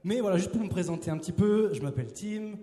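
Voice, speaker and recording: A man's voice: high-pitched, fast, dark tone. A man in his twenties, a youth preacher, giving a casual, joking sermon on a handheld mic and asking the crowd questions. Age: 20s. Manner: playful, chatty, asks the crowd questions. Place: large hall with a live audience. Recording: roomy hall reverb.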